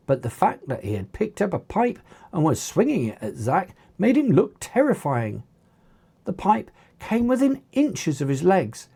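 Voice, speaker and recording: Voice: medium pitch, vocal fry, dark tone, breathy edge. A narrator reading an action story aloud in a dramatic storytelling manner. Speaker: author reading own book.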